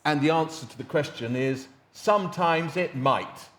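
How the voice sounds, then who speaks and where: A man's voice: a little high, normal speed, a bit bright. A man in the audience making an emphatic, exclamatory point. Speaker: audience member. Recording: roomy hall, distant mic.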